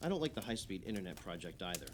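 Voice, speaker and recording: A man's voice: low voice, big pitch swings, very fast, slight background noise. An actor playing an opinionated, idealistic male islander holding forth emphatically in conversational radio play dialogue. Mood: opinionated, insistent.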